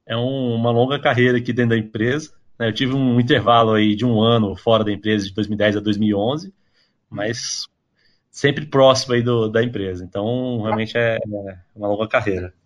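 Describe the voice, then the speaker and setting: A man's voice: fairly low pitch, steady tone, fairly quick, lo-fi sound. Man from Brazil, podcast guest, rambling, chatty, filler-heavy. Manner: rambling, many tag questions and fillers. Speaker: interview guest, tech company employee. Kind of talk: casual podcast interview.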